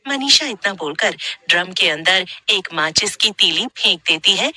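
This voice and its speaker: A woman's voice: on the low side, animated, moderate pace, sharp tone, no room sound. A woman reading scripted horror story narration as the storyteller.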